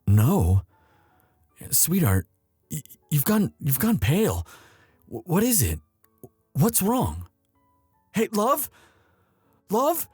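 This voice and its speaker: A man playing a young prince, a tender and anxious lover, in an intimate, halting romantic audio roleplay. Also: high-pitched, huge pitch swings, a little mellow, breathy edge, no room sound, soft background music, full character voice. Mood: panicked, pleading.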